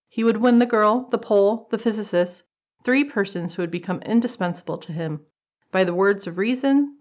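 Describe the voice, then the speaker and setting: A woman's voice: medium pitch, lo-fi sound. A woman narrating a scripted old-time radio drama.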